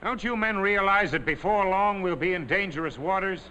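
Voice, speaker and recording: Man, high voice, bright and forceful, stern officer, impatient orders, faint background noise. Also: normal speed, poor audio. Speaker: officer addressing the deck crew. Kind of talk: barked orders.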